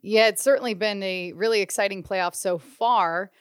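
A woman's voice: mid-range voice, normal speed, clear, resonant tone. A woman hosts a podcast interview, asking friendly, upbeat questions in a mid-range voice. Her sound is close and dry, with no room echo. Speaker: podcast host.

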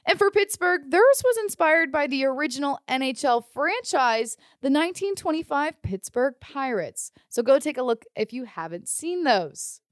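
Higher-voiced American woman hosting sports audio. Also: animated, normal speed, few pauses, dry recording, clean studio sound. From Ohio, United States. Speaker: sports podcast host. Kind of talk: scripted sports news and studio interview.

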